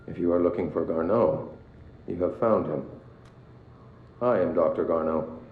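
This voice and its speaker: An actor playing a trapped, eerily detached research scientist, halting and evasive, heard over an intercom. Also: male voice. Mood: guarded. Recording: muffled, echoey, noisy intercom with rumble.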